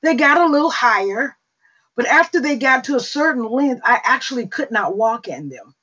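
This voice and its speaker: A middle-aged woman with a Mississippi accent gives an unhurried spoken testimony on a prayer call, with fillers and long pauses. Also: sits high, expressive, pushed and bright. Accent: Southern US, Mississippi. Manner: slow, unhurried storytelling with fillers. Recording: dull lo-fi call audio.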